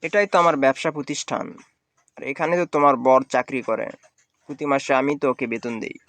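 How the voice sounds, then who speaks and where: A man's voice: mid-range voice, quick pace, fairly bright tone, no echo, natural voice. A man playing a courteous, earnest young village businessman in a cartoon. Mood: composed. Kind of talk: cartoon dialogue.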